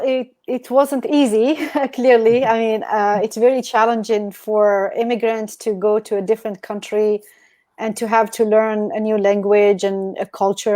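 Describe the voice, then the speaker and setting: Female guest from Algeria, mid-pitched, thoughtful and earnest, restarts her sentences. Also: dark tone. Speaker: guest, business coach. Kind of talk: interview answers.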